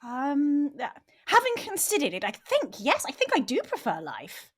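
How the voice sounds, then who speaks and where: A woman's voice: high-pitched, wildly swooping pitch, moderate pace. A woman playing a tabletop role-playing game, talking hesitantly with a wondering tone. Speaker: player.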